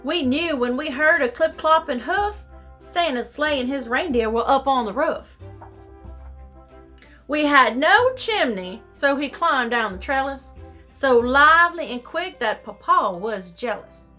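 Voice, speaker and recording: A woman telling stories to children in an expressive, theatrical, deliberate way. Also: sits high. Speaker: storyteller reading to children. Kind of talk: expressive storybook reading in rhyme. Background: music.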